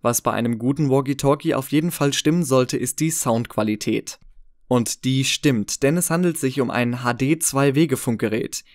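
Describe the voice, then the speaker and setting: A man's voice: medium pitch, normal speed, no room sound, studio quality. A man reading a scripted voice-over for a product comparison. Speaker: product review narrator.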